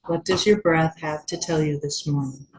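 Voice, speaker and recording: A woman yoga teacher leading a guided meditation in a gentle, unhurried manner with long pauses. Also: sits low, fairly even intonation, rough recording.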